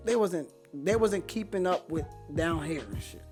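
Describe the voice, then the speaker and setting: A man in his twenties from Haiti, a Creole speaker, telling his story casually as a podcast guest in an animated, slangy, profane way. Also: a little high, normal speed, music in the background. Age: 20s.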